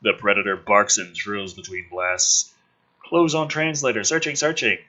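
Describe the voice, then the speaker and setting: A man doing an animated read-through of a script, theatrical, with exasperated and mocking asides. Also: fairly low pitch, normal speed, rough recording. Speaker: host reading and mocking a film script aloud.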